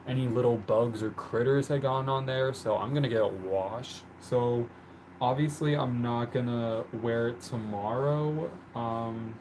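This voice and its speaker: Chatty male livestreamer, mid-pitched, with a faint room hum behind him. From born in the country where he lives. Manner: chatty, rambling, with many "like" and "um" fillers. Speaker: livestream host. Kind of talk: casual livestream chat. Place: indoors at home, painting on the floor.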